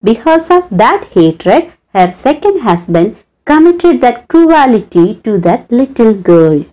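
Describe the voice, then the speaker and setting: A woman's voice: fairly high pitch, sing-song, slow pace, mellow, poor audio. A woman hosting her channel, telling a story as a scripted voice-over in a dramatic storyteller's way. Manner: dramatic, swooping storyteller's delivery. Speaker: channel host and narrator.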